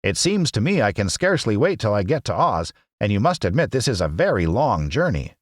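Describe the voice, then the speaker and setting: Man doing a calm, measured storybook tin man. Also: fairly low pitch, no echo, studio quality, pitched above the performer's own voice. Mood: wistful.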